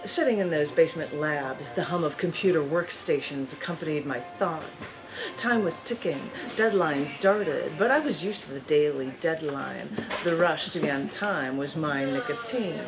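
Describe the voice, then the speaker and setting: A woman giving a live spoken-word poetry reading in a bookstore, phrasing dramatically and deliberately with drawn-out emphasis. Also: low-pitched, low-quality recording. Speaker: poet reading own work.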